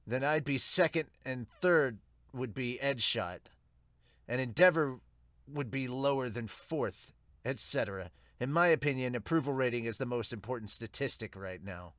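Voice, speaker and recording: Brash young male hero, played by a man, casual and needling, medium pitch, dry amateur comic dub. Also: normal speed, fairly bright tone, no echo, natural voice. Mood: matter-of-fact. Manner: cheeky, casual, provoking. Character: cocky, breezy young male superhero.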